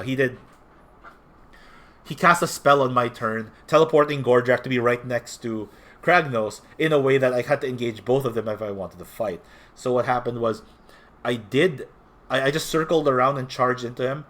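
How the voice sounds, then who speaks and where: A young man from the Philippines with a slightly nasal voice, a little sick, giving a casual spoken recap as a tournament player with frequent self-corrections. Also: medium pitch.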